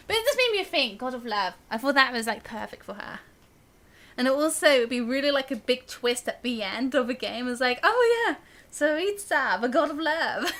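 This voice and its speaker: A woman's voice: high voice, sharp tone. A woman giving casual live gameplay commentary as a streamer, musing aloud in a chatty, giggly manner.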